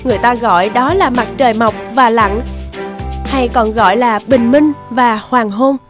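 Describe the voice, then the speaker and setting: Fairly high woman narrating a fairy tale. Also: normal speed, over music.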